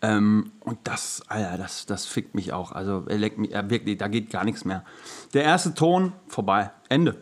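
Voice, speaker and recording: A man's voice: lowish voice, moderate pace. A man co-hosting a podcast, chatting casually in a loose, slangy way with trailing asides. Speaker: podcast co-host. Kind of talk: casual chat.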